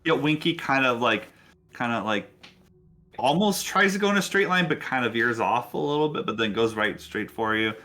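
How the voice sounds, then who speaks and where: A man serves as game master for a tabletop session. He improvises scenes in a casual, halting manner, talking directly to his players. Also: medium pitch, lively intonation, bright, forceful tone.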